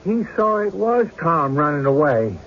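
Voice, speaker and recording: An actor playing a stubborn, excitable rural card player in folksy country talk for an old-time radio drama. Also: male voice, fairly high pitch, unhurried, slight background noise, exaggerated voice. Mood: alarmed, insistent. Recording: dull lo-fi radio recording with music.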